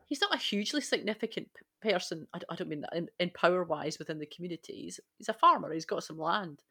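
A woman's voice: lowish voice, animated, fast talker, gravelly, pushed and bright, slightly rough. A woman on a discussion panel, speaking conversationally in an earnest, reflective way. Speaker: podcast panellist.

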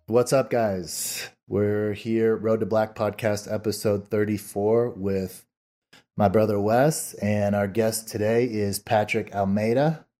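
A man's voice: lowish voice, steady tone, slow, mellow, soft background music. A man hosting a podcast, talking casually with frequent fillers and restarts. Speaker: podcast host. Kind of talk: casual interview chat.

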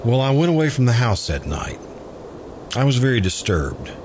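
An actor playing a troubled, devoted suitor in an old-time radio drama, speaking in an earnest, uneasy, remonstrating way. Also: male voice, medium pitch, sing-song, normal speed, close to an everyday voice. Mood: recounting, subdued. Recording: noisy recording with background hiss.

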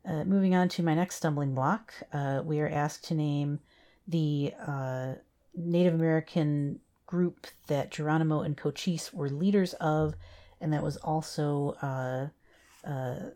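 A woman's voice: deep voice, a little slow, dark tone. A woman co-hosting a casual trivia discussion in an understated, somewhat hesitant way. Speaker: podcast co-host.

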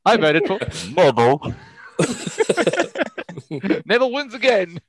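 A man's voice: high-pitched, wildly swooping pitch, slow pace, slight background noise. A man hosting a casual podcast among friends, teasing and laughing as he boasts about winning. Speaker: podcast host and editor.

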